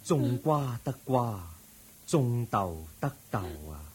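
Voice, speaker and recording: An actor playing a calm, compassionate Buddha in a Hong Kong Cantonese radio drama, preaching in a solemn, measured way. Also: male voice, medium pitch, slight background noise. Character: calm, compassionate male Buddha counselling an aggrieved believer.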